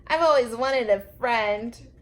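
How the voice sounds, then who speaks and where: A woman's voice: a little high, slow. A woman voicing a small, hopeful toy teddy bear in a storybook, drawing out words with wonder. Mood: wistful, content.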